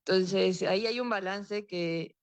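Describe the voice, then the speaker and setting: A novelist on a seminar panel, a woman speaking in a measured, even way. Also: mid-range voice, smooth, no echo, highs cut off. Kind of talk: panel discussion.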